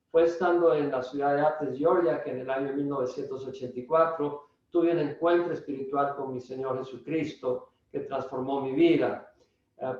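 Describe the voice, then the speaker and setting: An older man with a Salvadoran Spanish accent gives a personal introduction to a religious lecture, speaking deliberately with halting pauses. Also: medium pitch, darkish tone. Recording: echoey, distant, dull room sound.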